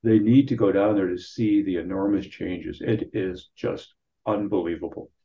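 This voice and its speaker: An older man with a low-pitched, very mellow voice talks as an interview guest. He explains things conversationally and a little haltingly, often doubling back on a word. The sound is slightly dull and lo-fi. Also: steady tone, slight background noise. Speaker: guest local historian. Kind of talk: conversational interview, recounting memories.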